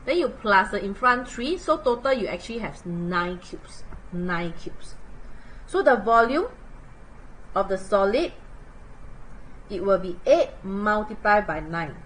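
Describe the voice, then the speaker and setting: A Singaporean woman walks students through math problems in a chatty live lesson, her English sprinkled with local particles. Her pitch swings widely as she talks, and her tone is bright and forceful. A faint hiss of noise sits behind her voice. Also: measured pace, long pauses, poor audio. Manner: chatty, animated, uses local particles like lah and leh. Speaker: math tutor.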